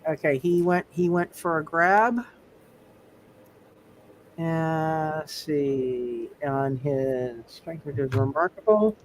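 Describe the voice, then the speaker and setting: Male game master, high voice, repetitive improvised delivery, slightly noisy setting. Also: takes it slowly. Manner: repeats words and phrases while thinking. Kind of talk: improvised narration of a live tabletop roleplaying game.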